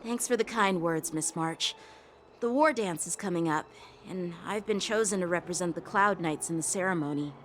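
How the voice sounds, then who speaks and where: A woman's voice: lowish voice, normal speed, slight background noise, close to an everyday voice. A woman voicing a polite, earnest, self-doubting teenage boy swordsman in an anime-style video game. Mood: humble, uneasy.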